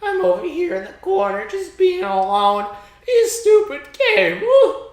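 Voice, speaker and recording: A woman's voice: very high voice, sing-song, a little slow. A woman playing a tabletop game, talking in character in a whiny, grumbling way. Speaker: player. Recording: roomy echo.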